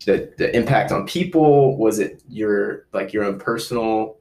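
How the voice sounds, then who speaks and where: A man's voice: fairly low pitch, unhurried, darkish tone. A man hosting a casual interview, earnest and repeating his points with frequent ums. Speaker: podcast host.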